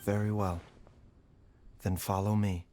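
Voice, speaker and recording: An actor playing a composed, grave and courteous doctor in a video game, speaking in a measured, formal way. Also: male voice, lowish voice, flat delivery, mellow, natural voice. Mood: calm, cautioning.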